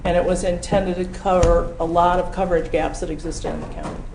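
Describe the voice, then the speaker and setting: An American woman giving an informal briefing at a public meeting in a halting, measured way. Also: lowish voice, sing-song, darkish tone. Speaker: county official introducing a presentation. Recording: echoey room with background noise.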